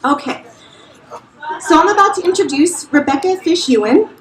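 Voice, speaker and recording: A woman's voice: very high-pitched, lively intonation, moderate pace, slight background noise. A woman hosting a live reading event, upbeat and chatty, laughing easily as she runs a raffle. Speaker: host introducing readers. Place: bar with a live audience. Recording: roomy live bar sound.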